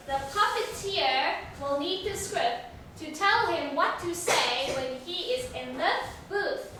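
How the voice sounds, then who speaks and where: A woman's voice: sits high, pushed and bright. A woman teaching an English lesson to a young class, asking simple questions, repeating words and praising answers in an encouraging way. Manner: simple instructional phrasing with questions and repetition. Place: echoey classroom, mic at a distance. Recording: very echoey room, distant mic, faint noise.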